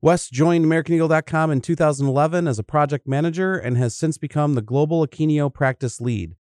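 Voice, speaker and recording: A man's voice: a little high, fast talker, darkish tone, dry recording, studio quality. A man hosting a podcast, reading a prepared introduction of a colleague. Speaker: podcast host. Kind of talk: scripted introduction.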